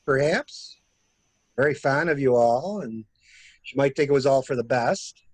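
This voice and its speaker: A man playing a calculating murderer who poses as a helpful investigator, musing theatrically in measured phrases in an amateur mystery play. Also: medium pitch, no room sound, natural voice, poor audio. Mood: musing, insinuating. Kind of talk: amateur radio-play style mystery acting.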